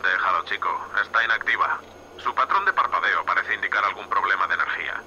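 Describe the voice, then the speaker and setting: A seasoned, sardonic spaceship captain, voiced by a man for sci-fi audio fiction. The tone is rather low, tense and commanding, with dry, curt delivery. The sound is slightly muffled with some noise. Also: expressive, fairly quick, bright, forceful tone, heavily acted. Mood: focused. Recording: dull, muffled band, light noise.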